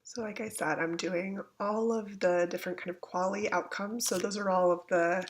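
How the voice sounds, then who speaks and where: A woman with a gravelly voice, getting over a cold, gives an informal step-by-step software tutorial, somewhat hesitant as she thinks aloud. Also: mid-range voice, fairly even intonation, moderate pace, a little hiss or hum. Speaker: instructor giving a software tutorial. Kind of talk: informal step-by-step screencast walkthrough. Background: computer keyboard typing.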